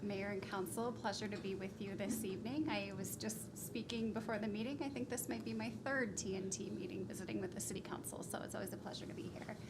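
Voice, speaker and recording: A soft-spoken woman presenting budget figures to a city council, polished with occasional ums. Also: mid-range voice, steady tone, quick pace, hardly any pauses. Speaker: finance consultant presenting. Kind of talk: formal presentation. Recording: noisy meeting room recording.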